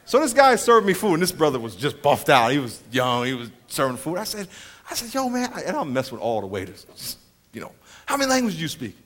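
Animated high-voiced male preacher. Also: fairly quick. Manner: animated storyteller, acts out voices, laughs often. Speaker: pastor. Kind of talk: sermon with comic stories.

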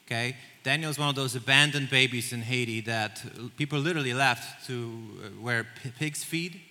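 Man, earnest storyteller, crisp and bright tone, church-hall reverb. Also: medium pitch, brisk. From Poland. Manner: earnest, storytelling, with small restarts. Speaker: missions leader. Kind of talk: onstage interview in church. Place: large church auditorium.

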